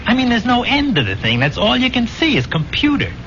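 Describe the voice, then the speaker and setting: An actor in a 1970s radio drama playing a weary, haunted war buddy back from the dead, speaking plainly in halting phrases. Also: male voice, mid-range voice, very animated, gravelly, fairly bright tone. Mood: haunted, recounting. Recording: dull old radio recording with music.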